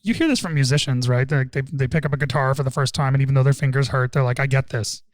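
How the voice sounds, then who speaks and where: A man from suburban Toronto speaking as a podcast guest, relaxed and wry, with many restarts. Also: medium pitch, quick pace, no room sound. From Toronto suburbs, Canada. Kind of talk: casual interview talk.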